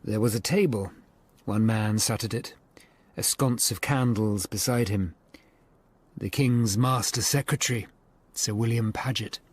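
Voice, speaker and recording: An actor playing a grave Tudor lawyer-detective who narrates a radio drama in the first person, measured and sombre. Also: male voice, on the low side, dry recording.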